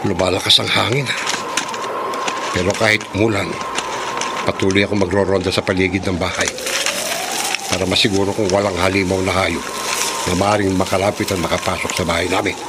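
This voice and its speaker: A man's voice: deep voice, flat delivery, fairly quick, pauses often. An actor with a Filipino accent playing a defiant, protective grown son in a horror radio drama, declaiming his actions aloud. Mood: wary, defiant. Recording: very noisy background ambience.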